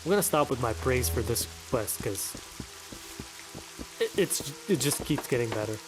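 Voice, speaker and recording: A man's voice: mid-range voice, lively intonation, moderate pace, over music. A man giving casual commentary as he plays through a video game. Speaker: let's play creator.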